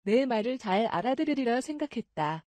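A woman reading translations of phrases quickly and crisply. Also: on the high side, sing-song, mellow, no echo. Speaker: Korean translation reader. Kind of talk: brisk textbook reading.

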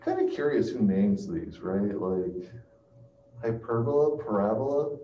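A man's voice: lowish voice, expressive, dark tone. Man lecturing in a muffled, echoey classroom. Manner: halting, checks in with listeners. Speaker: math lecturer. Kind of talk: classroom calculus lecture, working through steps aloud. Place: small classroom with chalkboard and projector. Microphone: far camera. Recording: muffled, roomy, distant mic with hiss.

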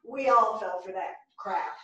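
A woman in a staged play reading, playing a dry, sardonic roommate with a deadpan delivery. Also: mid-range voice, unhurried, highs cut off, close to an everyday voice. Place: theatre stage, indoors. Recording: echoey theatre stage from far off.

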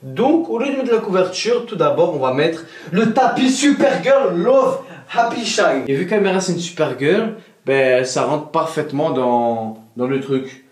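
A young man vlogging casually at home, excitable and chatty, talking straight to his viewers and laughing often. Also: a little high, unhurried. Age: young adult. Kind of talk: casual vlog to camera. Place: indoor bedroom at home. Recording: roomy echo, voice from off-mic.

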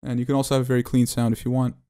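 A man presenting an unscripted software tutorial as its developer, explaining technical detail in a halting, hesitant way. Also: mid-range voice, monotone, clear, resonant tone.

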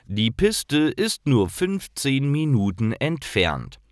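Man acting welcoming young man, slow and expressive. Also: medium pitch, no echo, clean studio sound, voice pushed up. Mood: explaining. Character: welcoming young man, helpful host and explainer. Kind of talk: acted storybook dialogue for language learners.

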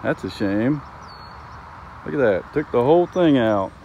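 A man's voice: mid-range voice, lively intonation, unhurried, a little mellow, muffled recording, a little hiss or hum. A middle-aged man casually narrating trains and tracks outdoors, pointing things out to viewers as he films with his phone. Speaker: railfan vlogger. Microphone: phone camera held by the speaker.

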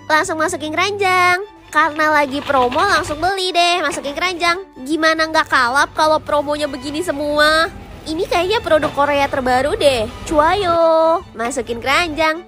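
A young woman with a very high voice narrates a shopping vlog in a chirpy, quick patter. Background music plays clearly under her voice-over. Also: bright, forceful tone. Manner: chirpy, quick product commentary. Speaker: shopping vlogger.

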